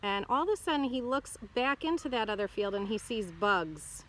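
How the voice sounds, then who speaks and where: Bright-voiced woman teacher reading aloud. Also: fairly high pitch, big pitch swings, normal speed, slight background noise, poor audio. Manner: instructive, checking in with questions. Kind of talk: expressive children's read-aloud with explanatory asides.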